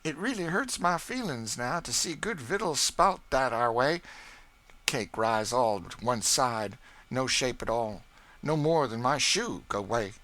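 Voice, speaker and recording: A man's voice: medium pitch, expressive, unhurried, pushed and bright, pitched above the performer's own voice. A man voicing a bossy, proud older woman cook who scolds and boasts in thick folksy dialect. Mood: bustling proud. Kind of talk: audiobook character voice.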